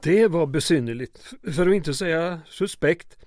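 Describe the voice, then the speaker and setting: A man's voice: on the high side, animated, background noise, close to an everyday voice. A man playing a flustered, wordy and evasive small-town mayor in an old-time radio comedy drama. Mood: suspicious, exasperated. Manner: wordy, formal, stammering when flustered.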